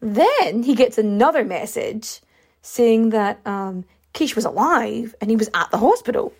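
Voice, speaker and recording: A woman's voice: fairly high pitch, expressive, unhurried, a little mellow, slightly rough. A woman hosting a podcast, telling a true-crime story in a rambling, chatty way with many fillers and asides while she is losing her voice. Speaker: podcast host.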